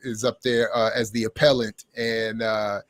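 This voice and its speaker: A man's voice: lowish voice, little pitch movement, unhurried, no room sound. A man co-hosting a casual live-stream chat who rambles loosely and leans on fillers. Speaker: co-host.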